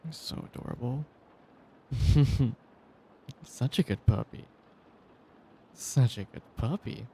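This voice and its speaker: A man's voice: mid-range voice, slow pace, creaky, dark tone, slight background noise. A man performing an intimate sleep-aid roleplay as a doting, teasing boyfriend, speaking tenderly with rain ambience behind him. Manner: tender, praising, teasing, chuckling often.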